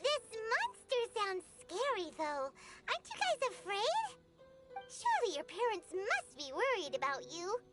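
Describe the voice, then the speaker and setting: Squeaky, very high fairy girl. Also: unhurried, loud music behind the voice, full character voice. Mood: puzzled, wary. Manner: refers to self in third person, squeaky and expressive. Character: tiny floating fairy girl companion, childlike, excitable, nosy. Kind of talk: anime video game voice acting.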